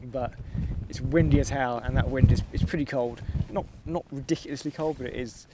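A man's voice: medium pitch, steady tone, a little fast. A man, chatting casually to camera while camping outdoors. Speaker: wild camping vlogger. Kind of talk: casual outdoor vlog chat. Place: outdoors on exposed moorland, in and around tent. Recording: windy outdoor recording, loud wind noise.

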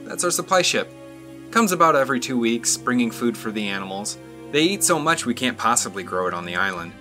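A man's voice: mid-range voice, expressive, a little fast, bright, forceful tone. A man playing a chatty, reassuring park publicity man in an audio drama, a little hesitant. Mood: casual. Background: music.

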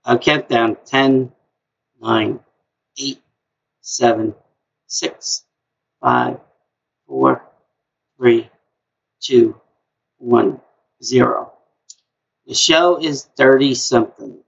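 A middle-aged man with a high voice hosts a trivia stream from his home, drawling slowly with lots of gravelly fry. He repeats himself in a chatty way. The webcam audio sounds dull and lo-fi. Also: lively intonation, a little hiss or hum. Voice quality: high-pitched voice. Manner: slow, repetitive, chatty. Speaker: trivia game host. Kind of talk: live-stream trivia hosting. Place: small home room, indoors.

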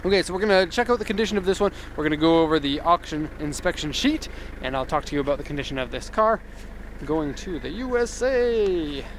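A man's voice: fairly high pitch, brisk. A man in his thirties giving an upbeat, casual walkaround review of a truck outdoors in a park, recorded on a handheld camera mic. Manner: upbeat and enthusiastic, with laughs. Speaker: car exporter reviewing a vehicle. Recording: outdoor noise behind voice.

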